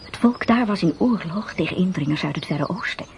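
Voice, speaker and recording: A woman narrating a fairy-tale radio play in an expressive, dramatic storytelling manner. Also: on the low side, fairly quick, a little hiss or hum.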